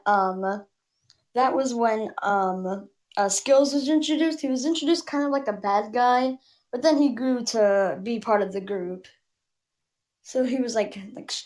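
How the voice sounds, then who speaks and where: A woman's voice: a little high, darkish tone. A woman giving a hesitant, casual explanation as a guest.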